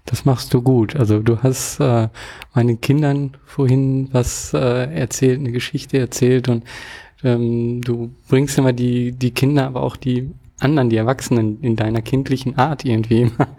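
Interviewer, Mann, dunkle knarrende Stimme, zögerlich fragend. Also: male voice, medium pitch, flat delivery, normal speed, mellow. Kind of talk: casual conversational interview.

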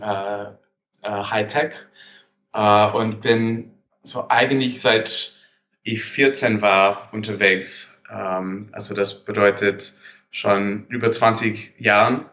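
A man's voice: fairly low pitch, fairly bright tone. A man from Canada in his thirties, a native English speaker with a Canadian accent, answering interview questions hesitantly while searching for words. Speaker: guest entrepreneur. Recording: dull lo-fi sound.

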